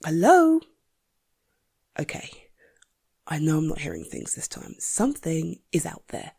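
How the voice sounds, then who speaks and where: A woman acts out a jumpy librarian alone at closing time in an audio roleplay. Her voice is very breathy and fairly low, with a hesitant, stammering delivery that often sinks into muttering to herself. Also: wildly swooping pitch, unhurried, darkish tone, full character voice. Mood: jumpy, uneasy. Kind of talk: intimate first-person audio roleplay.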